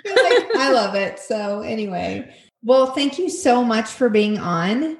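A woman's voice: fairly high pitch, very animated, measured pace, roomy sound, a crowd in the background. A woman hosting a podcast interview in a casual, chatty way, with lots of fillers and laughter. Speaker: podcast host.

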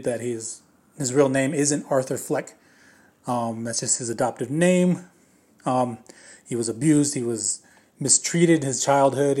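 Slightly raspy man rambling through a review. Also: medium pitch, a little mellow. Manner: rambling, lots of fillers and restarts. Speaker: movie reviewer. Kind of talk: casual spoken movie review.

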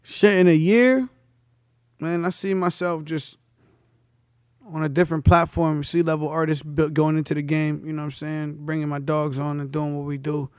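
A young man in his twenties chats loosely as a rapper guest, dropping street slang and filler phrases as he goes. His voice sits a touch higher than most men's. The sound is close and dry. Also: steady tone, normal speed, clear, resonant tone, no room sound. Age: 20s. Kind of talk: casual interview chat.

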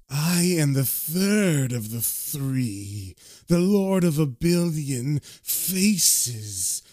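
Male narrator as a nightmare demon king, very slow, drawn-out, imperious, menacing, archaic phrasing. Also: fairly high pitch, darkish tone, no echo, studio quality, full character voice. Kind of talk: dramatic comic-book storytelling character voice.

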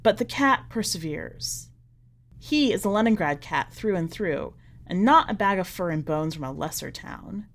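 A woman narrating literary fiction from a script, with measured, deliberate phrasing that falls away at the ends of lines. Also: fairly low pitch, expressive. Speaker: podcast editor reading a short story aloud.

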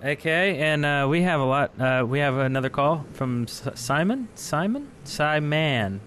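A man's voice: fairly high pitch, lively intonation, unhurried, fairly bright tone, a little hiss or hum. A man co-hosting a live call-in podcast, chatty and easygoing, laughing often and restarting his sentences. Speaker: podcast co-host. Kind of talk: casual live call-in chat.

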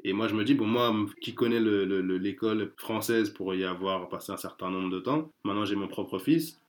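A man's voice: fairly low pitch, fairly quick. A man chatting casually as an interview guest, hesitant, with many fillers and restarts. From France.

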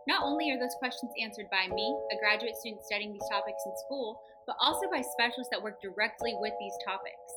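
A young woman in her twenties reading a scripted podcast intro, brisk and cheerful. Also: a little high, rough recording. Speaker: podcast host. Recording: loud music under the voice.

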